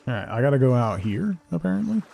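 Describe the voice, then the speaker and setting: A man hosting a game livestream, rambling casually and haltingly as he thinks aloud and replies to viewers. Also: mid-range voice, big pitch swings, creaky, mellow, soft background music. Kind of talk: casual chat while playing, answering viewers.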